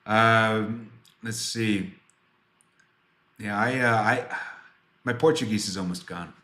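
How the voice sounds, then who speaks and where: A bright, forward male voice in his twenties with a roomy echo around it. He talks casually to livestream viewers as a game developer, rambling through answers and poking fun at himself. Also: on the low side, takes it slowly. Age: 20s. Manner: rambling, self-deprecating.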